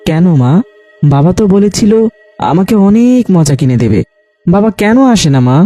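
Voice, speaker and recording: A man's voice: high-pitched, big pitch swings, moderate pace, warm tone, dry recording, faint music behind, voice pushed up. A man voicing a hungry little boy who whines questions to his mother in a cartoon. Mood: plaintive. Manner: whiny, childlike questioning. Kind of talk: Bengali cartoon voice acting.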